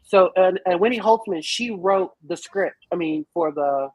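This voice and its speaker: A woman's voice: lowish voice, measured pace, a little mellow, husky, no room sound, low-quality recording. A woman chatting casually as a podcast panelist about a film, slangy, profane and given to theatrical retellings. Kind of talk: casual animated chat.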